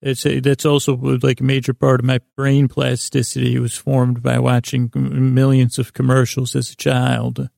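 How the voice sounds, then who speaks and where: A man hosting a bedtime podcast, rambling in soothing, lulling tones, halting and easily distracted as he goes. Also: mid-range voice, completely flat delivery, gravelly, darkish tone, dry recording, clean studio sound. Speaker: podcast host.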